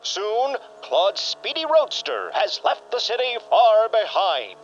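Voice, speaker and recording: An actor playing a pompous, grandiose superhero serial announcer, narrating a melodramatic radio play. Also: male voice, sits high, huge pitch swings, takes it slowly, soft background music, exaggerated voice. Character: bombastic old-time superhero serial announcer. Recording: muffled, noisy lo-fi audio.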